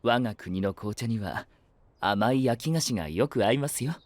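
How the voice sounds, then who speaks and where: A man's voice: mid-range voice, expressive, moderate pace, dry recording, lightly acted. A man playing a gracious, courteous knight who speaks formally and politely in an anime-style audio drama. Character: gracious, polite male knight hosting guests.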